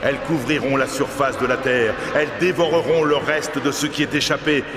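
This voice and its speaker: A man's voice: mid-range voice, steady tone, fairly quick. Guy doing god in a bible cartoon, stern n solemn, huge echo, noisy behind. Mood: solemn, threatening. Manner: solemn, declamatory. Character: God of Israel, stern, commanding, all-powerful divine voice. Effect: long reverb. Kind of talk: dramatized Bible cartoon dialogue.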